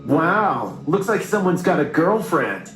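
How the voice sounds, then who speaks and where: A big, taunting teenage bully acted out by a male narrator in a story. The smirking voice sits high, in a roomy echo over music. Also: big pitch swings, slow.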